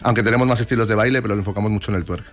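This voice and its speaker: A man's voice: on the low side, steady tone, racing. A 29-year-old man from Colombia, chatting casually as an interview guest and explaining at length with little checking tags. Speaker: interview guest, twerk dance teacher and academy owner.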